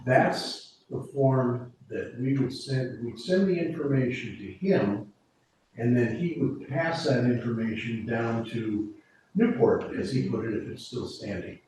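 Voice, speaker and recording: A man's voice: medium pitch, lively intonation, measured pace, darkish tone. A man explaining radio procedures to a committee meeting, rambling and restarting as he goes. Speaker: emergency preparedness volunteer. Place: meeting room. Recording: echoey room from far off, faint hiss.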